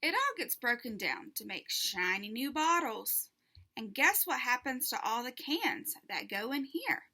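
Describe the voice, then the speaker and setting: A patient male teacher from a children's storybook, read out by a woman, explains things keenly and emphatically. The voice is bright and slow, with lots of rise and fall and some creak. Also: on the high side, sing-song, exaggerated voice. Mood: explaining, enthusiastic. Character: male schoolteacher, patient, keen explainer of recycling.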